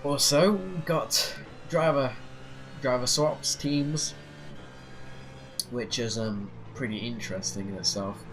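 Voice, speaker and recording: A man's voice: mid-range voice, steady tone, slow pace, long pauses. Rambling man with a stuffy cold. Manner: rambling, hesitant, many fillers and restarts. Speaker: sim racing channel host. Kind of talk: casual rambling commentary while driving a racing sim. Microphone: off-center desk mic. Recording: clear sim engine noise behind. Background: racing game engine noise.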